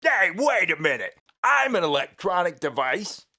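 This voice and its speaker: Man as a gravelly, brash cartoon robot. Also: high-pitched, very animated, normal speed, pushed and bright, full character voice. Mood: sarcastic. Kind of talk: cartoon character acting.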